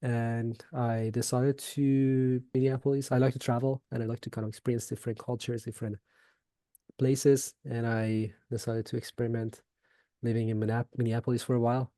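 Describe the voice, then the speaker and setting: A young man from Iran chats as a podcast guest, pausing often with fillers and restarts. His voice is mellow and fairly flat in tone. The recording is dry and close. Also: mid-range voice, dry recording. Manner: hesitant, many fillers and restarts. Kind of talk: casual interview chat.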